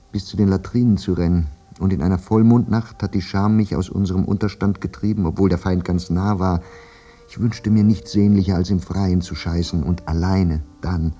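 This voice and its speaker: An actor playing a haunted, war-maimed soldier who recalls the war in a reflective, self-questioning radio drama monologue. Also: male voice, sits low, dark tone. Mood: bitter, confessional. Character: war-maimed soldier brooding over his memories, haunted, plain-spoken. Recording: muffled radio play recording with music. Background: music.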